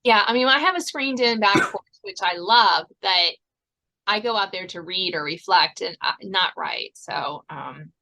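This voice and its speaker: A woman's voice: mid-range voice, normal speed, creaky, pushed and bright, rough recording. A woman hosting a podcast in a casual interview, chatty and given to laughing. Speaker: podcast host.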